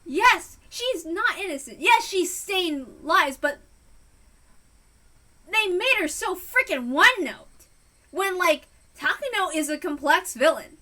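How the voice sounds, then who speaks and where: Bright, emphatic woman giving impassioned commentary. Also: extremely high pitch, expressive. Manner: emphatic, exasperated, halting. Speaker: reader and commentator. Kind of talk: casual impassioned fan commentary.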